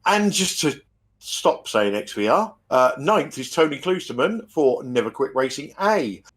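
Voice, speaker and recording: A man commentating a sim race in a calm, matter-of-fact way. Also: medium pitch, unhurried.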